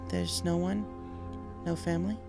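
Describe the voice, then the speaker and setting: A man voicing a polite, sympathetic but firm female pony nurse in a storybook, gentle and professional. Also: high voice, level tone, unhurried, vocal fry, music in the background, voice pushed up. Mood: concerned. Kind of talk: storybook character voice.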